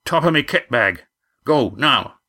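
A man acts out a hearty, gruff skipper in a seafaring storybook. His speech is rustic and clipped, with the weight of someone used to giving orders on deck. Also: mid-range voice, lively intonation, measured pace, sharp tone, voice pushed up, exaggerated voice. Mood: commanding. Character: gruff, huge-voiced commanding sailing skipper, adult man. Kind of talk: audiobook character acting.

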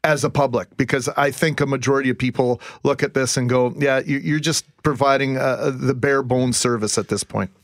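Radio host guy, mid-pitched voice, pressing questions, tight dry studio. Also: steady tone, fairly quick, few pauses, dry recording.

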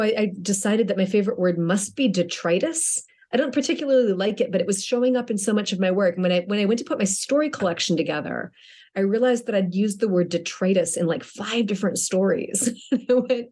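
A woman's voice: medium pitch, animated, a little fast, a little mellow. A middle-aged woman author answering interview questions in a chatty way, often restarting her sentences and laughing lightly.

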